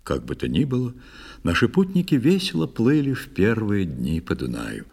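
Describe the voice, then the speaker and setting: Deep-voiced man narrating in husky tones. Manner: measured, expressive, storytelling delivery. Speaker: narrator of a children's musical audio play. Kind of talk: theatrical verse narration. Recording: long studio reverb, music underneath. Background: music.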